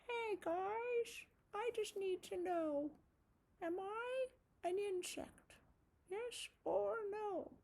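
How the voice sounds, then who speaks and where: A woman's voice: extremely high pitch, very slow, gravelly, darkish tone, voice pushed up, full character voice. A woman voicing a friendly, inquisitive little male spider puppet who sounds childlike and unsure, in a children's lesson. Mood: curious. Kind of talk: puppet talk for young children.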